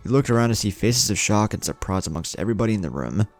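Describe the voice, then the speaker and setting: A man's voice: on the low side, lively intonation, fast talker, no room sound, soft background music. A man reading scripted fan fiction as a narrator, in an audiobook-like style.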